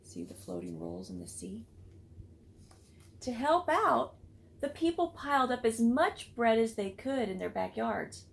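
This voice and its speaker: A woman's voice: fairly high pitch, very animated, measured pace, gravelly. A woman reading a children's storybook aloud expressively, with chatty asides to listeners. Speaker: read-aloud book club reader.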